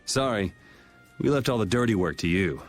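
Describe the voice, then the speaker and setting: Laid-back anime game hero, male performer: young, cool, low voice, quiet resolve, background music. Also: expressive, moderate pace, natural voice. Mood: apologetic. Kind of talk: English anime game dub acting.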